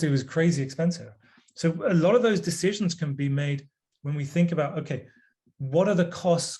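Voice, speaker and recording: A man answering interview questions as a guest author, measured and plain-spoken with some hesitant restarts. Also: fairly high pitch, a little mellow.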